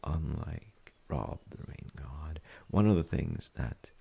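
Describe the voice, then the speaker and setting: A man playing a gentle, thoughtful, reassuring dad in a soft-spoken comfort roleplay, hesitant and reflective, with a husky, gravelly voice. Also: rumbling low, full character voice. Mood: tender, reassuring. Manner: hesitant, reflective, pausing mid-sentence. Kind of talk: soft-spoken sleep-aid audio roleplay.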